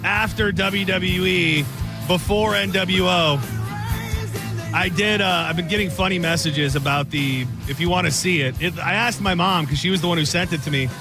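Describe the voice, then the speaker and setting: A man's voice: sits high, moderate pace, over music. A man from Michigan hosting a radio show, telling a rambling, self-deprecating story in casual banter.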